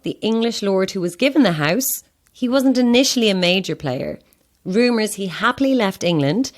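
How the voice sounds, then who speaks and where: An actress plays a curious Irish woman on holiday in an audio horror drama. Her mid-pitched voice is conversational and easygoing. She has a wry, thoughtful way of talking. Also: close to an everyday voice. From Ireland.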